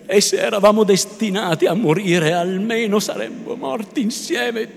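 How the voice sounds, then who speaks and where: Man voicing a raging young man, stammers, loud, gravelly edge, echoey hall. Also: high voice, moderate pace, slight background noise, voice pushed up. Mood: vengeful fury. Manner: stammering, then vengeful and loud. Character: desperate young man, blinded by rage. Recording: echoey hall, faint ambience.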